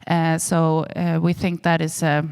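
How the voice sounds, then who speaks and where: A woman speaks as a conference panelist. Her voice is low and smooth, and her phrasing is halting. The talk is in a conference hall. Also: monotone, a little mellow. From Sweden. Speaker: university panelist.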